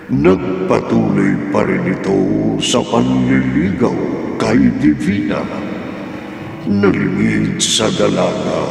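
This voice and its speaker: A man narrating a horror radio series in an ominous, drawn-out, theatrical way. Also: on the low side, creaky, slight background noise. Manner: ominous, drawn-out, dramatic delivery. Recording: cavernous echo with long reverb. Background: dramatic music.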